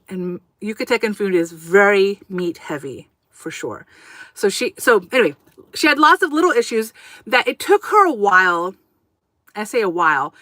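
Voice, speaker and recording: A woman's voice: mid-range voice, pushed and bright, dry recording. A woman whose first language was Japanese, hosting a casual livestream from inside her RV, talking in a rambling, self-correcting way and reading out viewer comments. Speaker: livestream host. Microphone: camera on a tripod.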